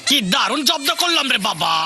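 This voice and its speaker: A man voicing a cheeky, greedy little pest with a creaky voice in a comic cartoon dub. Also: very high-pitched, sing-song, a little fast, very noisy, full character voice. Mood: gleeful. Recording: loud music behind the voice.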